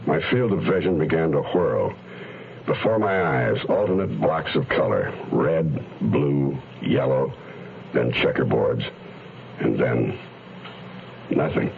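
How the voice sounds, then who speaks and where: Low-voiced hardboiled private eye, noisy recording. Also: male voice, expressive, slow, vocal fry, exaggerated voice. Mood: grim, fading. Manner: sardonic, terse. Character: wisecracking tough male private detective under torture. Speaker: lead actor and narrator. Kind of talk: hardboiled radio detective drama, first-person narration. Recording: muffled, noisy old radio drama recording.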